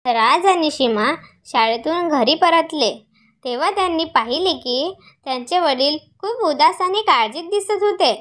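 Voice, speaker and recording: Woman storyteller for a cartoon, high voice, scripted moral tale narration. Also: expressive, a little slow, a bit bright.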